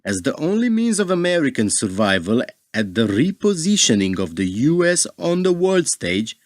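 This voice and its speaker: A man's voice: mid-range voice, big pitch swings, moderate pace, no echo, clean studio sound, natural voice. A man in a radio play acting a proud, defiant Russian ambassador, speaking in a grave, formal, emphatic way. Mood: firm, measured.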